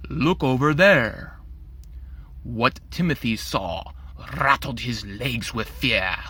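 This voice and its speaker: A man's voice: medium pitch, slow pace, pushed and bright, slightly rough. A man narrating a rhyming children's storybook in a theatrical, playful way.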